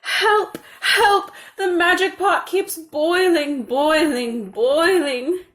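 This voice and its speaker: A woman acts out a forgetful village mother from a fairy tale. She is flustered and loud, pushing high up in pitch as she exclaims her commands and pleas. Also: very slow, heavily acted. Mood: panicked, shouting.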